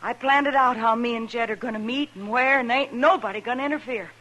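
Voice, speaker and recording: A woman's voice: a little high, quick pace, sharp tone, low-quality recording. An actress playing a stubborn, defiant frontier woman with a rural American Western accent, curt and combative, in a radio western. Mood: threatening, stubborn. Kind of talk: 1950s western radio drama acting.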